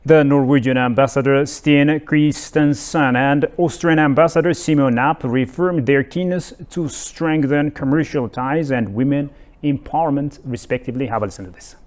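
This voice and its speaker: A male news anchor reads his script in a formal, even voice of middle pitch. He hands over to the reports. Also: moderate pace.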